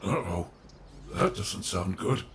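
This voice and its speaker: A man's voice: deep voice, slow, vocal fry, noisy background, exaggerated voice. A man voicing a cheerful, literal-minded animatronic bear in an audio roleplay, with earnest, formal wording. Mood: sheepish. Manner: earnest, formal wordy phrasing.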